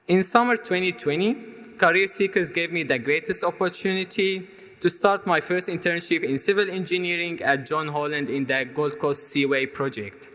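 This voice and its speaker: A man's voice: fairly high pitch, fairly bright tone. Earnest young man speaking in a hall. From Syria. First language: Arabic. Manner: earnest, measured delivery with small restarts. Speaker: student speaker. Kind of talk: prepared speech. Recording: hall reverb.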